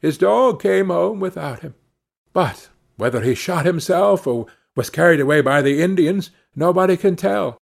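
A man voicing a young mother from a village in a storybook, brisk and plainspoken. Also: a little high, expressive, gravelly, pitched above the performer's own voice. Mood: plaintive, matter-of-fact.

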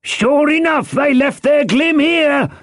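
A man voicing a rough, excitable pirate henchman in an audiobook, calling out loudly. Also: sits high, normal speed, no room sound, clean studio sound, pitched above the performer's own voice. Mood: excited. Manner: loud, hollering. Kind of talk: audiobook character voice.

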